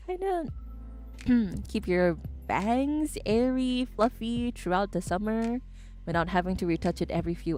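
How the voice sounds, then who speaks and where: A woman's voice: fairly low pitch, warm tone. Giggly woman mixing English and Japanese. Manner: switches between English and Japanese, giggly, rambling. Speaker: streamer. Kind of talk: casual chat stream.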